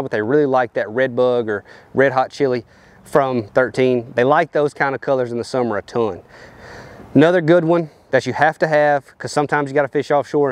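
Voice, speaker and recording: Laid-back man giving fishing tips outdoors. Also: mid-range voice. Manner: loose, rambling, lots of fillers like "you know" and "kinda". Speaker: professional bass angler. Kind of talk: casual fishing tips to camera. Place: outdoors on a riverbank and boat deck. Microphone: lapel mic.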